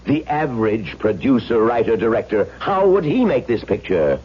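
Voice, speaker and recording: A pompous, wildly theatrical film director. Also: male voice, medium pitch, sing-song, moderate pace, slightly rough. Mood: impassioned, lecturing. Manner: theatrical, declamatory, repeats phrases for effect. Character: pompous, egomaniacal male film director, grandiose and self-important. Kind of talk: 1970s radio drama acting. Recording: muffled, noisy vintage radio broadcast recording.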